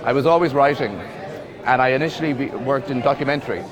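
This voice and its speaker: A man's voice: mid-range voice, fast talker. An Irish man, a film director, talking thoughtfully in an interview and often repeating words as he searches for a phrase. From Ireland. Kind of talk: festival interview. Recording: busy crowd noise behind.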